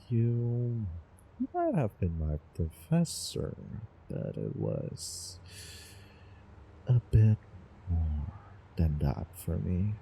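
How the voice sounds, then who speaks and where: A man playing a doting, obsessive young student from the future in a halting, intimate audio drama monologue with nervous chuckles. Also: fairly low pitch, wildly swooping pitch, creaky, slight background noise, full character voice. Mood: lovesick, wistful. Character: doting, obsessive male time-traveling student in love. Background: soft background ambience.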